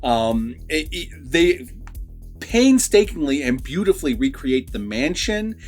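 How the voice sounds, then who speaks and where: Guy with a slightly high voice, animated, opinionated casual review chat, bit of music behind. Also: measured pace. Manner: conversational, opinionated, says "like" often. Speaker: film review vlogger.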